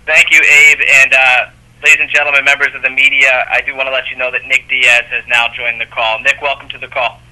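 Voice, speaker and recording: A man's voice: mid-range voice, level tone, fairly quick, bright, forceful tone, low-quality recording. A man moderating a press conference call by telephone, making semi-formal announcements.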